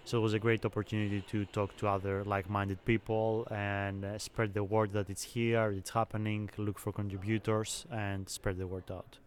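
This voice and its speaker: A young man from Greece, a software engineer, calmly explaining technical work in an interview. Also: on the low side, normal speed, few pauses, slight background noise. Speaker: software engineer, conference speaker. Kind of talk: interview answers.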